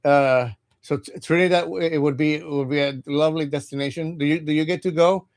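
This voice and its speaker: Halting man. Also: fairly high pitch, steady tone. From Cuba. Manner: halting, repeats words often. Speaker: tarot reader. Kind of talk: casual livestream chat.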